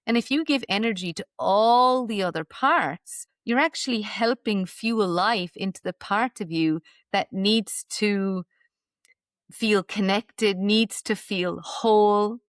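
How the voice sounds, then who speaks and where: A woman's voice: medium pitch, dry recording, clean studio sound. A woman guest in a podcast interview, talking earnestly about mind-body healing and often checking in with "right?". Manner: earnest, frequent "right?" tag questions. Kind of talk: reflective interview talk.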